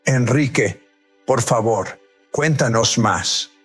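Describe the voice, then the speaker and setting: A male host speaks very slowly and deliberately over soft music. He introduces the guest in a dramatic, scripted way. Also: mid-range voice, breathy edge. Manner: slow, dramatic delivery.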